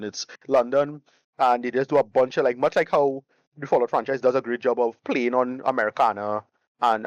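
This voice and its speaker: A man's voice: medium pitch, dry recording, dull audio. A man giving casual podcast reviews, restarting phrases often and leaning on enthusiastic slang. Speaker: podcast co-host. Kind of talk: casual chat, reviewing favourite games.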